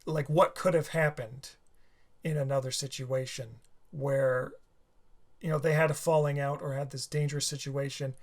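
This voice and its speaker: Casting: male reaction-video host, tired and a little hoarse, thinks aloud, stumbles and restarts, medium pitch. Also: fairly even intonation. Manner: halting, many restarts and fillers. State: tired, slightly sore throat. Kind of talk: casual rambling commentary.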